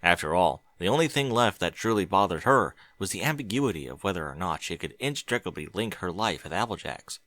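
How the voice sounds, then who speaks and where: A man's voice: sits low, animated, brisk, few pauses, a bit bright, no room sound. A man reading fiction aloud as an audiobook, narrating expressively. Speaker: audiobook reader.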